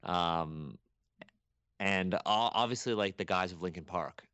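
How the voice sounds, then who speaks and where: A young man from Canada, a guest chatting casually on a podcast, rambling and animated with many restarts. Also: deep voice, a little slow, clean tone, dry recording, highs cut off. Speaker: guest archaeologist.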